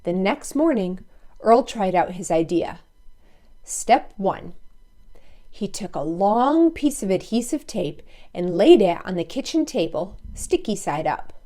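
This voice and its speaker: A woman's voice: mid-range voice, dark tone. A woman reading a children's story aloud expressively, with playful asides to listeners. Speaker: storybook read-aloud narrator.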